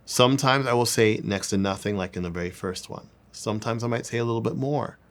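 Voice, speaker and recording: A man's voice: medium pitch, quick pace. A man giving an informal sales coaching lesson, repeating words and phrases for emphasis. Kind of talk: informal instructional talk with demonstrations.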